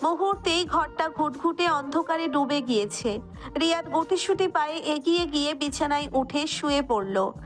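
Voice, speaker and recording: A high-pitched female voice reads a scripted romantic tale as narrator. Faint music runs underneath the narration. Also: expressive, normal speed.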